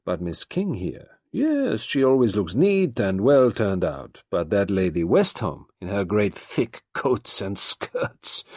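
A man voicing a grave, cynical middle-aged French doctor in a storybook reading, deliberate and world-weary. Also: lowish voice, husky, dry recording, studio quality, full character voice.

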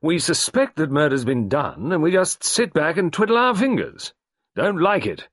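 A man doing a gruff, clipped old colonel. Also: on the high side, very animated, normal speed, fairly bright tone, no room sound, clean studio sound, pitched above the performer's own voice. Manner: clipped, terse phrasing.

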